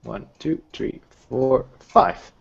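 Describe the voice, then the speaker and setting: A man's voice: medium pitch, very slow, gravelly, slightly rough, muffled recording. A man acting as game master, running a tabletop roleplaying session.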